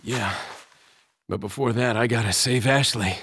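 A man's voice: mid-range voice, a little slow, fairly bright tone, a little hiss or hum, close to an everyday voice. A man playing an earnest, determined young action hero in a video game, terse and serious. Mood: serious, resolute. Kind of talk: video game cutscene acting.

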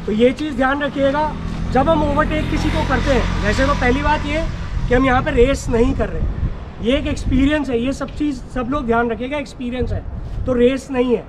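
A man with a very high voice briefs riders on safety at an outdoor track. He speaks instructively and keeps checking in with the group. Traffic noise sits clearly behind him. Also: normal speed. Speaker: event instructor.

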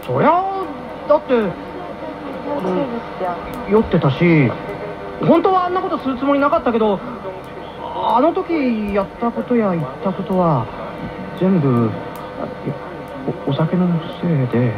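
A man's voice: high-pitched, wildly swooping pitch, a little slow, long pauses, full character voice. An actor playing a flustered, pleading teenage boy who stammers and hesitates, in a radio drama. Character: flustered, pleading teenage boy on trial over drunken mistake. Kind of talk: 1990s radio drama acting. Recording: muffled AM radio broadcast, music behind.

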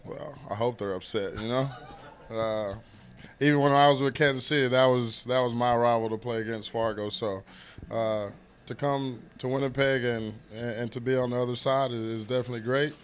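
Laid-back ballplayer, easy laughter. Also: male voice, mid-range voice, steady tone, moderate pace, a little hiss or hum. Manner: laid-back, laughs easily, many fillers. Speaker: baseball player. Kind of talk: post-game interview. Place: indoors in front of a sponsor backdrop. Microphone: handheld interviewer mic.

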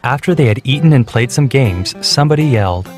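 A man reading a children's storybook, clear and measured. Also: medium pitch, animated. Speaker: narrator. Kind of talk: scripted storybook narration. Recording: soft background music.

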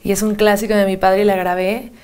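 A woman's voice: medium pitch, little pitch movement, quick pace, a little mellow, clean tone. A young woman singer with a Mexican Spanish accent, answering interview questions in a chatty, easy way, holding a handheld mic in a TV studio. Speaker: singer guest. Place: TV studio, remote split screen.

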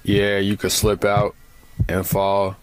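A man playing an indifferent amusement park ride operator with flat, unbothered announcer patter. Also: fairly low pitch, takes it slowly, slight background noise, rough recording. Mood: deadpan, indifferent.

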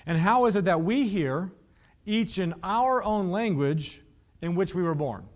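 Man in his thirties, preacher, slightly high voice, lively rhetorical questions, studio stage sermon. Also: measured pace. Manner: animated, asks the listeners rhetorical questions. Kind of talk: Bible teaching sermon. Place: studio stage with painted backdrops.

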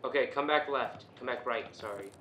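A man's voice: medium pitch, normal speed, pushed and bright, echoey room, a little hiss or hum. A young man talking casually and bluntly to a friend while they play a video game, swearing playfully. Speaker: gamer in co-op let's play. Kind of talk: casual gaming chat with a friend.

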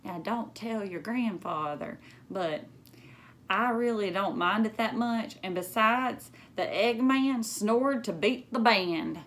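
A woman voicing a kindly old grandmother in a children's storybook, in a gentle, confiding manner. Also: mid-range voice, slow pace.

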